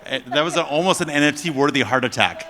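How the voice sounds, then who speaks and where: Fast-talking male moderator, echoey hall. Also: fairly high pitch, expressive, bright, forceful tone. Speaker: panel moderator. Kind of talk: informal live moderating.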